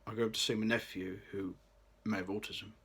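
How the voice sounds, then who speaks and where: Low-voiced young man, bright tone. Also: flat delivery, a little fast, husky. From Birmingham, England. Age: late 20s. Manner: careful, read-aloud delivery with occasional restarts. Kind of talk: scripted personal vlog.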